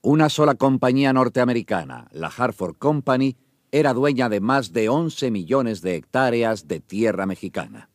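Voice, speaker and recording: A man's voice: medium pitch, expressive, moderate pace, no echo, clean studio sound. A man reading factual scripted narration in a radio documentary drama.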